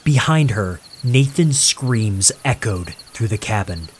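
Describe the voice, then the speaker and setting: A man reads a horror story slowly and with suspense. His pitch moves widely between dramatic pauses, and there is faint noise behind him. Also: animated. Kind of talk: dramatic scripted horror narration.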